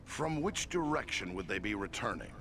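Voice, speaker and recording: A man's voice: on the low side, expressive, normal speed, noisy background, natural voice. A man playing a willing, plain-spoken adventurer in a fantasy video game.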